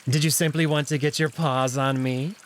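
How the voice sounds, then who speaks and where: A man's voice: on the high side, fairly even intonation, normal speed, a little hiss or hum, close to an everyday voice. A man voicing a smug, flirtatious male jackal in a visual novel, speaking with arch, mockingly polite theatricality. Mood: taunting, flirtatious.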